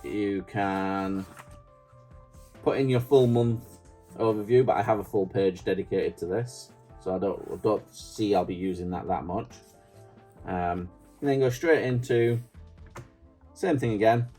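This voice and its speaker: A man's voice: lowish voice, measured pace, long pauses, warm tone, soft background music. A middle-aged man with a Yorkshire accent, casually showing off his stationery in a chatty, rambling, self-deprecating way. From Yorkshire, England. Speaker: stationery vlogger. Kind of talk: casual show-and-tell.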